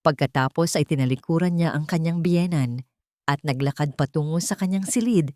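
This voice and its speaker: A woman narrates a dramatic story from a script. Her voice sits a little low. The recording is very dry, with no room sound. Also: normal speed, darkish tone, no room sound.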